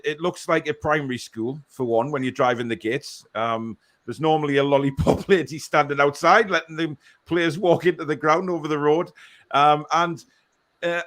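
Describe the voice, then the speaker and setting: A man's voice: a little high, fairly bright tone. A man hosting a live football fan show, chatting casually and reading out viewer comments.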